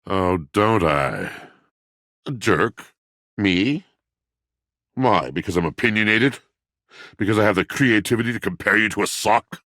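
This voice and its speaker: An actor playing a melancholy, haughty, literature-loving washing machine in a video game, with pompous, eloquent, theatrical delivery. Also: male voice, lowish voice, lively intonation, unhurried, long pauses, fairly bright tone, dry recording, clean studio sound. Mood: scornful. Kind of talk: dramatic video game character acting.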